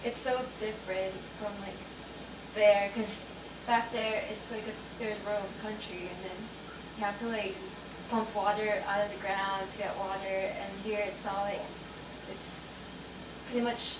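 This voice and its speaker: A woman's voice: medium pitch, level tone, a little slow. Young woman from the Philippines, chatty, lots of fillers, echoey room, distant mic, noisy background. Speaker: student in group discussion. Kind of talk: casual group discussion.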